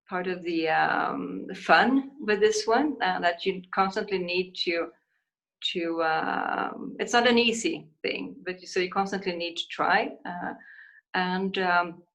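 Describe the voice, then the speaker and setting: A woman with a Swedish accent, an ambassador answering on a webinar panel, slow and halting with many fillers. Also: on the low side, a bit bright. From Sweden.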